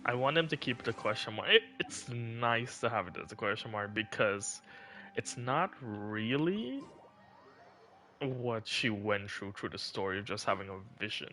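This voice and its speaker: Male streamer, forward bright tone, casual musing live chat. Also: lowish voice, animated, a little slow, faint music behind. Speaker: game streamer.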